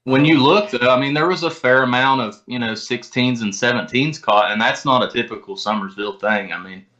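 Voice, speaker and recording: A man's voice: medium pitch, fairly quick, bright, forceful tone, highs cut off. A man talking casually as an interview guest, with informal, folksy phrasing. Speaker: podcast guest, tournament kayak angler.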